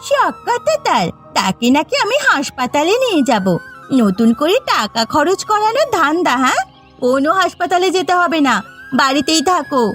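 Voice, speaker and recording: A woman voicing a cruel, scheming, bossy daughter-in-law in an animated village story, scolding with open scorn. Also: high-pitched, moderate pace, soft background music. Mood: scornful. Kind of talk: animated moral story voice acting.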